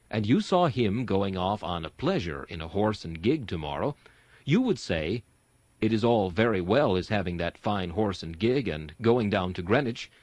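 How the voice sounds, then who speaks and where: Lively, exhorting man reading an old sermon. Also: lowish voice, no echo. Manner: earnest, exhorting, rhetorical. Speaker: sermon reader and host. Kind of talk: dramatic reading of a Victorian sermon.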